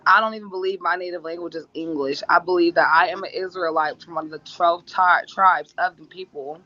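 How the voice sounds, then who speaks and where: A woman's voice: lowish voice, steady tone, a little fast, pushed and bright, no room sound. A woman talking at length in an interview clip, insistent and assertive, repeating her points. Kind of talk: rambling monologue. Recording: dull lo-fi clip.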